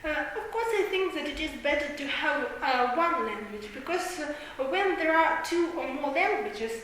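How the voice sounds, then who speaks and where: A young woman student with a Ukrainian accent, answering in a classroom role-play and often pausing to search for words. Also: high-pitched, lively intonation, a bit bright, a little hiss or hum. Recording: echoey classroom.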